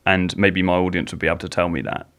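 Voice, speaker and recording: Man, podcast host, low voice, blunt, casual swearing. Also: fairly even intonation, fast, fairly bright tone. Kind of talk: casual interview talk.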